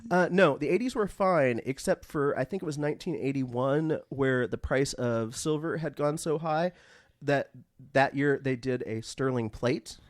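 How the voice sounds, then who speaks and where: A man's voice: medium pitch, a little slow, dry recording, studio quality. A man co-hosting a comedic podcast in casual chat, telling stories in a campy, wry way. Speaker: podcast co-host.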